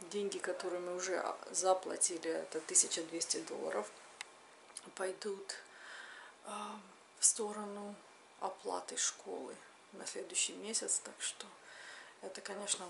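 A woman's voice: medium pitch, gravelly. A woman in her thirties chatting casually to camera as a vlogger, conversational and retelling everyday exchanges. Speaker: vlogger, mother. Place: home kitchen, later another room indoors. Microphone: camera a little distance away. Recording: noisy room, distant camera microphone.

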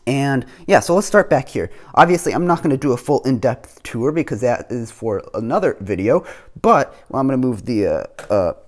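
A man casually narrating a show-and-tell of his building project at home, talking things through with fillers as he goes. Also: medium pitch. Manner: relaxed, thinking aloud with fillers. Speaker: hobby model builder. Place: home room with model on table.

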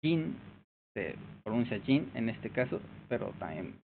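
A man works through lessons in casual study commentary, thinking aloud and repeating each new word. His voice sits at a middle pitch. The sound is narrow and tinny, with a faint hiss behind him. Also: sing-song, measured pace. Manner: thinks aloud, repeats words to learn them. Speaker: youtuber studying Japanese.

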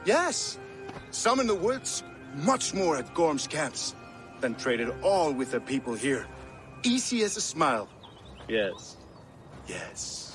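Game role: nervous, eager middle-aged Norse trader, fawning oddball, very animated delivery over prominent score. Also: male voice, fairly high pitch, takes it slowly, long pauses, music in the background, full character voice. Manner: jittery, repetitive, fawning, clipped broken phrasing. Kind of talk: video game cutscene acting.

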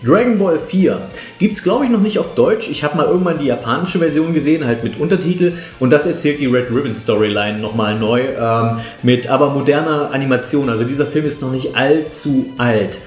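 A man's voice: mid-range voice, a little fast. Man reviewing films casually in a roomy space. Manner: conversational, opinionated. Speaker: film reviewer. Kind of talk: casual spoken review to camera. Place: small living room, on a sofa. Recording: roomy indoor echo, music behind.

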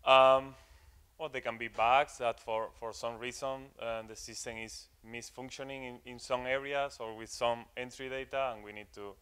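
A man's voice: medium pitch, steady tone, normal speed, a bit bright, clear, resonant tone. A young man giving a technical talk to an audience in a conference room, hesitant with many fillers and repeated words. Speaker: conference speaker.

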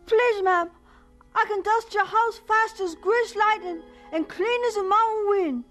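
A woman's voice: extremely high pitch, a little slow, a bit bright, faint music behind. A woman playing an earnest, polite young boy with a Southern US accent, pleading respectfully in a radio drama. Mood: eager pleading.

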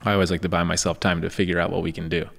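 A low, gravelly male voice with an easy, chatty delivery, full of filler words as he tells the story. He talks into a boom-arm studio mic, and a long reverb trails behind him. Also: rapid-fire. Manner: relaxed storytelling with many "like" fillers. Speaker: podcast host. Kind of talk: casual podcast conversation. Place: podcast studio in a storefront building. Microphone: boom-arm studio microphone.

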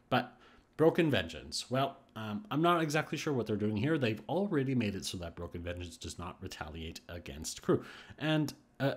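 A man hosting a gaming video, giving casual update commentary and thinking aloud as he speculates. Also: medium pitch.

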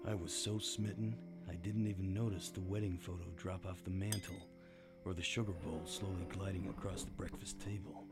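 Male actor as weary noir detective, deep voice, wry radio play narration, music underneath. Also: fairly even intonation, moderate pace. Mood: wry, brooding.

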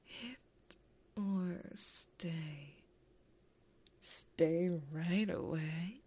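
A woman's voice: fairly low pitch, mellow, heavily acted. A woman voicing a flirty, possessive female demon gambler in a whispered, teasing ASMR roleplay, close to a binaural microphone. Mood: smug, possessive. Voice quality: breathy, whispered. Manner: slow, teasing, intimate.

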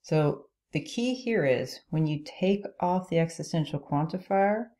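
Low, mellow-voiced woman teaching logic. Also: lively intonation, a little slow. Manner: explanatory, checks in with "okay?". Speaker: logic instructor. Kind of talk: lecture over slides. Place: voice-over on screen recording.

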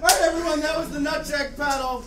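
The host of a live fandub panel, speaking halting and stammering in a convention meeting room. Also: sits high, steady tone. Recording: roomy hall from far off, loud crowd.

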